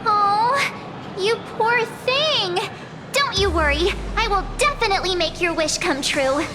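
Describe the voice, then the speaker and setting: A woman voicing a bubbly, naive and kind-hearted teenage girl adventurer in a video game, chatty and expressive. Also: very high-pitched, a little slow, very noisy. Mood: sympathetic.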